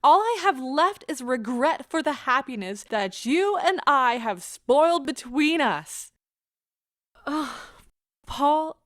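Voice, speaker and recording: An actress plays a wounded woman in a romantic audio drama, pleading with theatrical intensity. Her voice is high and she takes her words slowly, weighing each one with impassioned feeling. The sound is close and dry. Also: a bit bright, no echo, exaggerated voice. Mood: despairing. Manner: theatrical, impassioned, deliberate delivery. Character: anguished, pleading woman leaving a broken love affair. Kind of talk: stage-style audio drama acting.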